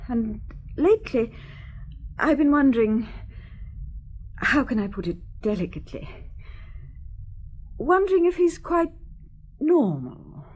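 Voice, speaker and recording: A haughty, anxious middle-aged wife of means in a radio detective play. Her high voice swings widely as she speaks imperiously, with pointed, deliberate pauses. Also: a little mellow, a little hiss or hum. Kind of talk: radio detective drama acting.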